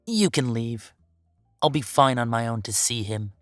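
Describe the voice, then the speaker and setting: A woman's voice: a bass voice, expressive, a little slow, no echo, clean studio sound, faint music behind. A woman playing a bitter, defiant werewolf big brother estranged from the family, curt and confrontational, in an audio drama. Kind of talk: scripted audio roleplay drama.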